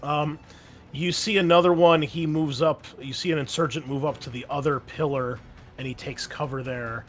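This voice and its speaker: A man running a tabletop game as its game master and host, easygoing and quick to laugh, often pausing with ums. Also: on the high side, fairly even intonation, soft background music.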